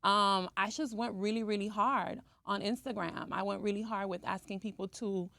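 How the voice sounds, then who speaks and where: A woman's voice: medium pitch, steady tone, moderate pace, vocal fry, fairly bright tone, dry recording, clean studio sound. A woman from Cuba who has lived in the US since early childhood, talking chattily as an esthetician guest in a podcast interview and often checking in with the listener. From Cuba, living in the US since age three. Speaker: interview guest, esthetician and skincare brand owner.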